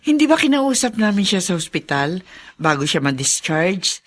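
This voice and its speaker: A woman's voice: fairly low pitch, big pitch swings, moderate pace. A female actor playing a gracious, grateful visitor in a radio drama, polite and earnest. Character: gracious, grateful adult gift-bearing visitor praising goodness.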